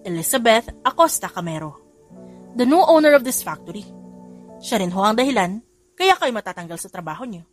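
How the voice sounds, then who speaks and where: A woman's voice: medium pitch, natural voice. Woman narrator doing a firm, formal male assistant, close to her own voice. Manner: polite but firm, formal address.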